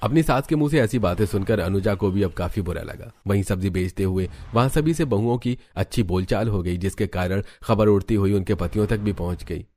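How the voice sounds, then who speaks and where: Guy narrating a cartoon story, lowish voice, scripted storyteller style. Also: fairly quick, few pauses, mellow, no room sound, faint music behind.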